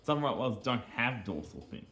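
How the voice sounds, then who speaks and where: A man giving rambling, casual commentary on video game cutscenes, speaking haltingly with repeated phrases and earnestly explaining small details. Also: mid-range voice, very animated, a bit bright. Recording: game music behind the voice.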